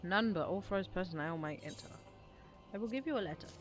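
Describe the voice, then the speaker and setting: A woman's voice: lowish voice, expressive, fast, faint music behind, close to an everyday voice. A woman reading aloud the part of a stern, official fortress guard in a fantasy game.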